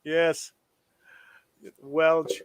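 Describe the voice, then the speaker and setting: A relaxed man chats and jokes through a live stream, laughing often. His voice sits high and his pace is unhurried. The sound is dry and close, with no room around it. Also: clean tone, no room sound. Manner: laid-back, joking, laughs often. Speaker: stream co-host. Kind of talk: casual live-stream chat.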